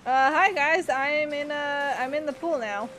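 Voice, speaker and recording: A woman's voice: high voice, a little slow, a bit bright, slight background noise, low-quality recording. A woman giving casual reaction commentary as she plays through a video game. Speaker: gameplay streamer.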